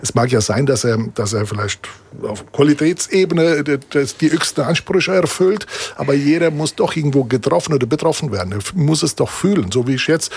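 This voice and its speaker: Husky, rambling male interviewer. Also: on the high side, a little fast, few pauses. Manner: warm, encouraging, rambling. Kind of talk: casual interview talk.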